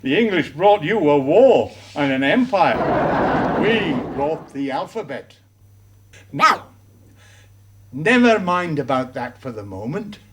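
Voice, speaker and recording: Slow, lively-pitched man. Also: slight background noise. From Wales. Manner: polite, slow and deliberate. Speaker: visiting foreigner. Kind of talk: comedy series acting.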